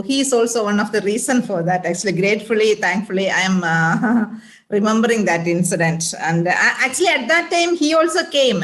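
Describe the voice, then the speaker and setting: A woman's voice: on the high side, a bit bright. A woman gives an informal speech at a women's group event, full of fillers and restarts. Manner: hesitant, many fillers and restarts. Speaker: association member and doctor.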